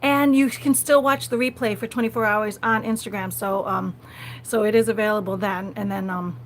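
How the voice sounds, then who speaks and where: A woman's voice: medium pitch, fairly even intonation, normal speed. Chatty woman livestreamer on a noisy phone recording. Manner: conversational, repeats phrases, frequent small laughs. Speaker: live-stream host. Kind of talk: casual live vlog chat to viewers.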